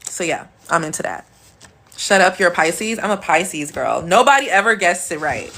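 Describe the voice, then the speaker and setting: Deep-voiced woman chatting on a livestream. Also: animated, moderate pace, sharp tone. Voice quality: deep, smooth, sultry voice. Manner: playful and chatty, with bursts of high laughter. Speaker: livestream host. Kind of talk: casual livestream chat, answering comments.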